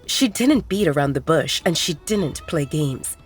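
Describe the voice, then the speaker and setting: A woman's voice: sits low, expressive, unhurried, slightly rough, faint music behind. A woman narrating a werewolf romance audio drama in a scripted storytelling style.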